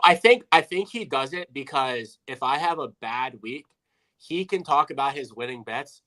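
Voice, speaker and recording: A man in his twenties with a bright, crisp-edged voice co-hosts a casual betting podcast. He jokes wryly at his own expense. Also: mid-range voice, low-quality recording. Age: 20s. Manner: self-deprecating, wry humor. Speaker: podcast co-host.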